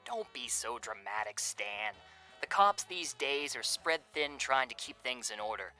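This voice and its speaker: An actor playing a slangy, falsely genial and menacing 1930s crime boss in a radio drama. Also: male voice, medium pitch, lively intonation, measured pace, sharp tone, faint music behind. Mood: businesslike, dismissive. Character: smooth, menacing male crime boss of Depression-era New York.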